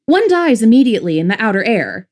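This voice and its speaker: A woman playing a brisk, dismissive, devout middle-aged mother in a full-cast science fiction audiobook. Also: on the high side, very animated, darkish tone. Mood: shocked, dissuading. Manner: brisk, fussy, disapproving.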